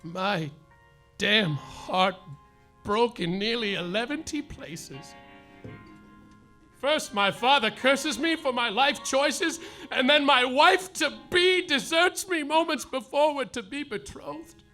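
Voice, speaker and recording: An actor playing a melodramatic, heartbroken private detective, declaiming a parody noir story in a live comedy radio play. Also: male voice, very high-pitched, lively intonation, takes it slowly, sharp tone, soft background music, full character voice. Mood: heartbroken, dramatic. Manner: overwrought, declamatory. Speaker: lead actor and narrator.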